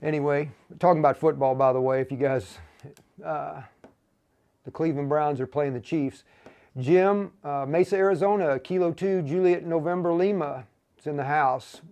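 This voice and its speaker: An American man hosting a livestream in a casual, folksy, informal way, talking in a halting flow. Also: on the high side, warm tone, clean tone. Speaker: livestream host for a radio equipment seller.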